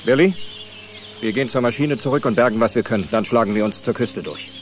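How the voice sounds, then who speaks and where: A man playing a gruff, disillusioned dinosaur scientist in a German audio drama, speaking in a curt, dry way. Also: medium pitch, fast, faint music behind, lightly acted. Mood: stern.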